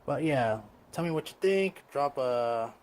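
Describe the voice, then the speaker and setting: Faint noise sits behind a man with a mid-pitched voice. He talks casually, like a video host commenting on his hobby gear and addressing his viewers directly. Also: lively intonation, unhurried, darkish tone.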